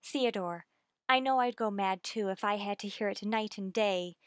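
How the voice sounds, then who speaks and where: A woman playing an earnest, anxious woman in love with a widower, in slow, pleading, melodramatic old-time radio suspense style. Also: medium pitch, dry recording, clean studio sound, natural voice. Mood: firm, distressed. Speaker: radio drama actor.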